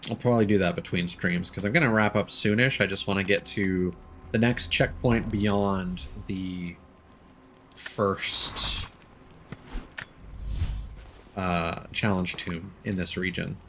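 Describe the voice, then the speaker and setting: A man gives casual livestream commentary, musing aloud as they play. The voice sits fairly low, with faint game ambience behind it. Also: fairly even intonation, fairly bright tone, a little hiss or hum. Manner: musing aloud, unhurried asides. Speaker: game streamer.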